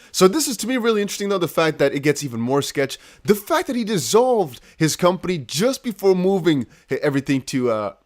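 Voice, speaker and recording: A man from the Netherlands with a Dutch accent, chatting to viewers in a casual, emphatic livestream commentary. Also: fairly high pitch. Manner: chatty, emphatic, repeats points, addresses viewers directly. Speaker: crypto news streamer.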